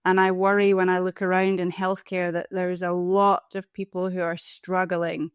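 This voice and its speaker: A woman in her forties with a Scottish accent, a palliative care doctor and coach speaking as an interview guest in a thoughtful, earnest, measured way. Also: on the low side, level tone, clear, resonant tone, no echo. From Scotland. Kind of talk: interview conversation.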